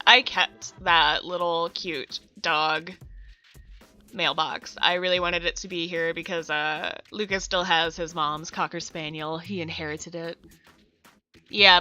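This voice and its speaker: A woman's voice: lowish voice, bright, forceful tone. A woman with a congested voice, getting over a cold, narrating a build video in a chatty way and talking straight to her viewers. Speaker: video game build creator. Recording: dull lo-fi voice-over with music behind.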